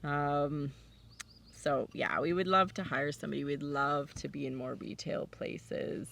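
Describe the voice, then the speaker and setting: A woman's voice: sits low, steady tone, measured pace, creaky, fairly bright tone. A woman who runs a forest farm, chatting casually in an interview with lots of fillers and sometimes dropping to a whisper. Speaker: forest farmer. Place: outdoors on a farm. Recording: distant mic, outdoor noise with passing vehicles.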